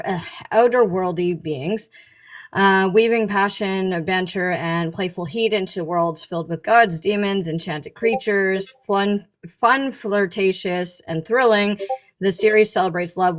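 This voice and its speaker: Halting woman author presenting informally. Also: mid-range voice. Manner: halting, stumbling over words. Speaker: author and narrator, panel guest. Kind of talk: informal presentation, partly read aloud.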